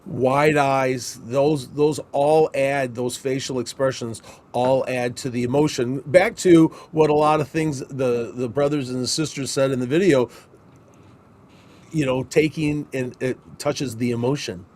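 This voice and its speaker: A man's voice: mid-range voice, fairly even intonation, a little slow, a little mellow. A man co-hosting a casual instructional discussion, thinking aloud in a loose, conversational way. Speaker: podcast co-host and Christmas performer.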